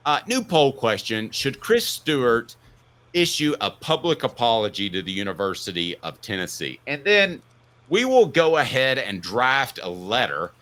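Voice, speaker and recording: A man's voice: mid-range voice, very animated, moderate pace, a bit bright. A man in his fifties hosting an opinionated sports talk show, telling stories casually in a jokey, mock-indignant way. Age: 50s.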